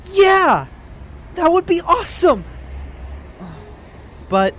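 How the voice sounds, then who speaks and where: A woman playing an eager, plucky young boy with an excitable, earnest manner in a cartoon audio drama. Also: extremely high pitch, very slow, slight background noise, exaggerated voice. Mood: amazed.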